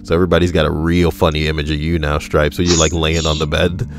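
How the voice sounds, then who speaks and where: Very deep-voiced man, wry and deadpan. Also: quick pace. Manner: deadpan, wry, rambling asides. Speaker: podcast co-host reading internet stories. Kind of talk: casual joking commentary.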